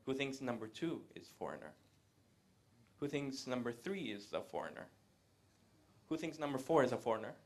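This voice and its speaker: A man, giving a live presentation to an audience in a conversational way, pausing often to hesitate. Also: medium pitch, big pitch swings. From Latin America. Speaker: guest speaker. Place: event room with a live audience.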